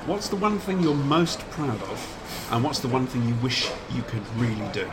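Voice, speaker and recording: A man's voice: medium pitch, big pitch swings, moderate pace. A man interviewing casually for a podcast, chipping in informally with banter. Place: public signing event in a bookshop. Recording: busy crowd noise behind.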